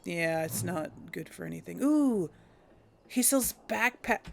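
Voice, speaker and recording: A woman's voice: lowish voice, expressive, measured pace, gravelly, a little hiss or hum. A woman hosting a casual gameplay video, chatting and thinking aloud with frequent giggles. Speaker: let's play host.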